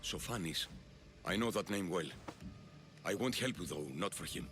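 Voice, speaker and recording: A man's voice: deep voice, lively intonation, a little slow, over music. A man playing a grudging, scornful Athenian citizen in debt in a video game cutscene.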